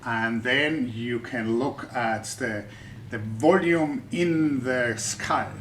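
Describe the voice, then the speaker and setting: A man's voice: mid-range voice, slow, a bit bright, a little hiss or hum. Male science YouTuber with a German accent, mid-range voice, loose chatty delivery that often circles back mid-sentence. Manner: chatty, thinking aloud with restarts. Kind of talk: explaining research for the general public.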